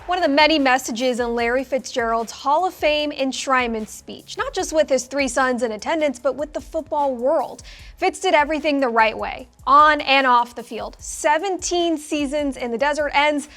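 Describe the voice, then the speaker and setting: A woman's voice: high voice, moderate pace, a bit bright. A woman presenting a sports show as co-host, reading scripted segments.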